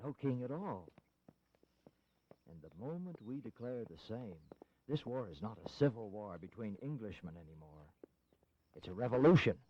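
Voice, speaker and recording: An actor playing a shrewd, older revolutionary schemer in a historical audio drama, wry, teasing and persuasive. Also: male voice, lowish voice, big pitch swings, normal speed, long pauses, slight background noise. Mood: rousing, shrewd. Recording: dull, dry 1980s audio drama recording.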